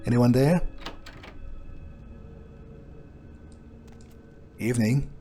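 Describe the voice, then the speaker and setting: A man's voice: medium pitch, fairly quick, dark tone, faint music behind, close to an everyday voice. A man role-playing a cocky, foul-mouthed fledgling vampire in improvised video game commentary, muttering hesitantly and swearing casually. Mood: calling out. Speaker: game streamer role-playing his character. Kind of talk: in-character improvised gameplay commentary.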